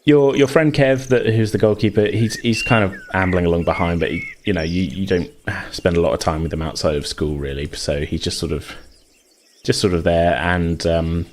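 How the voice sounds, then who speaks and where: A man acting as game master, improvising narration for a tabletop game in a halting, conversational way. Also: deep voice, steady tone, a little hiss or hum.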